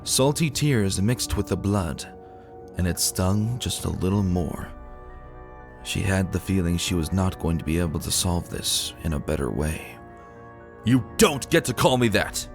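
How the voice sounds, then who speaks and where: A man narrating a fantasy story as a dramatic reading, solemn and deliberate in his storytelling. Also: low-pitched, gravelly, music in the background.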